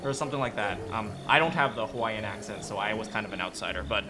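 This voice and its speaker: A man's voice: on the low side, steady tone, fast, noisy background. A man chatting casually about game lore, rambling with many restarts and frequent tag questions. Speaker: streamer discussing game lore with chat. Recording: faint music behind.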